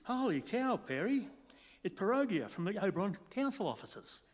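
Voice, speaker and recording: A man playing an excitable, pleading press photographer in a comic radio play, stammering and repeating himself with urgency. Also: high-pitched, moderate pace, a little hiss or hum. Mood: alarmed, stammering. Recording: reverberant hall.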